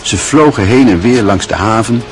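A man narrating a radio play in a measured storytelling manner. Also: lowish voice, music in the background, low-quality recording.

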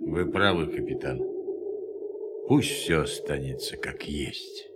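A man's voice: very deep voice, takes it slowly. An actor playing a subdued, deferential spaceship crewman in a radio drama. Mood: hesitant. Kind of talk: Soviet radio drama acting. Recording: loud ambient noise over the voice.

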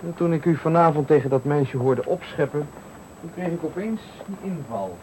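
A man acting as a menacing stranger with a fairly high voice. He is coolly polite, then taunting and commanding, like a sadistic trickster. It is a radio thriller from 1980 with light noise on the recording. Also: lively intonation, normal speed, mellow, close to an everyday voice, rough recording. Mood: smug, taunting.

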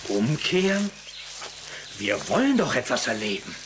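A man's voice: mid-range voice, big pitch swings, a little slow, fairly bright tone, a little hiss or hum. A man playing an adventurous, enthusiastic middle-aged father in a radio play, eager and theatrical, brushing off worries. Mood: excited, dismissive. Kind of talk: German radio play dialogue.

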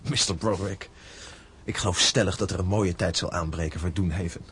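A man's voice: sits low, a little fast, fairly bright tone, a little hiss or hum. A man acting in a Dutch radio drama as a young cattle herder, plain-spoken, blunt and a little cheeky. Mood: friendly, grateful.

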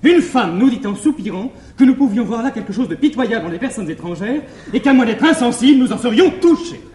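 A lovestruck young gentleman of classic French farce. His very high voice races along in anxious, effusive theatrical declamation. The old stage recording is roomy and echoing. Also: animated, fairly quick. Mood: rapturous, effusive. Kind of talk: classical stage comedy acting.

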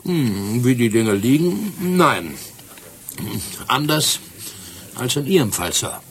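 An actor in a German radio play playing a shrewd, cheeky yet deferential police sergeant with a wry, respectful manner. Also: male voice, medium pitch, sing-song, takes it slowly, slight background noise. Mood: teasing.

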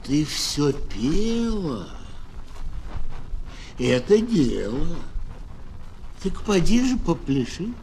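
A man voicing a stern, unmoved ant in a fable, measured and sardonic. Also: fairly high pitch, sing-song, vocal fry, a little mellow, full character voice. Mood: dry reproach. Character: stern, dry, unmoved male ant scolding a beggar. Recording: noisy old children's record.

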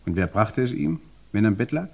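An actor playing an investigator who questions a widow in a measured, insistent way. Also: male voice, fairly low pitch, lively intonation, vocal fry. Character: calm, probing male investigator interrogating a widow. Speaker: interrogator. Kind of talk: radio crime play.

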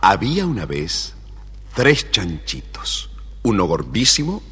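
A man narrating a scripted children's tale with an expressive, deliberate storyteller delivery. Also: fairly low pitch, breathy edge, soft background music. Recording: reverberant, faintly noisy old story record.

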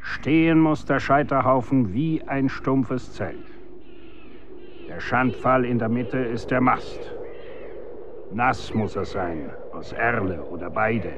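An actor in a German radio drama playing a stern, grave executioner instructing his son in commanding, archaic, measured diction. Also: medium pitch, a bit bright, lo-fi sound, slight background noise.

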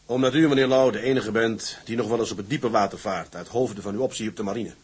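A man playing a determined, blunt seaman in a period drama, husky and insistently persuasive. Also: lowish voice, fast talker. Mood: urgent, persuasive. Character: determined, blunt male seaman turned tugboat entrepreneur.

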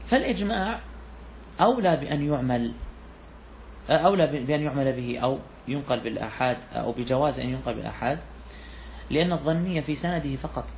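A man's voice: medium pitch, quick pace, vocal fry, darkish tone. Guy teaching a fiqh text to students, keeps repeating himself and asking the room, dull muffled audio with a bit of hiss. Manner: repeats key phrases, poses questions to students. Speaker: sheikh teaching Islamic jurisprudence. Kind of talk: classroom lecture explaining a text.

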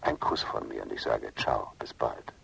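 An actor playing a self-assured, smitten seducer in a radio drama, speaking in a breathy, gravelly half-whisper with menacing tenderness. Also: male voice, very deep voice, level tone, normal speed, fairly bright tone, full character voice, poor audio. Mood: insistent, seductive.

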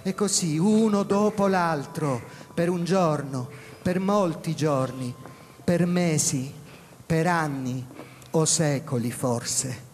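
An actor playing a sombre man, narrating theatrically in short, measured phrases. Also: a little high, darkish tone. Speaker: stage actor. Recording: long reverb, background noise.

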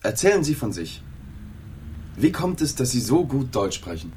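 An actor playing a sober, observant journalist in a German radio drama, speaking in a plain, understated way. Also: male voice, mid-range voice, sing-song, moderate pace, mellow, slight background noise, close to an everyday voice. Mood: reserved, curious. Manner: plain, understated, matter-of-fact. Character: reserved, sober male journalist, observant and detached. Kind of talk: radio drama dialogue and first-person narration.

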